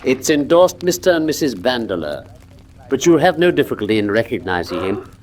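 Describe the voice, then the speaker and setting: A man playing a shrewd, courteous, commanding gentleman detective in a radio drama, with a precise, formal delivery. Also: mid-range voice, big pitch swings, brisk. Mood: firm, probing.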